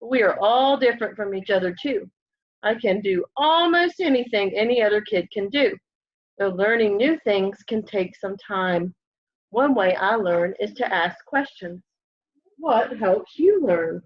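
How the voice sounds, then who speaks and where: A young woman reading a children's picture book aloud in an expressive way, often putting questions to young listeners. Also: mid-range voice, a little slow. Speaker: storybook reader. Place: room at home, indoors. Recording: dull, lo-fi home webcam audio.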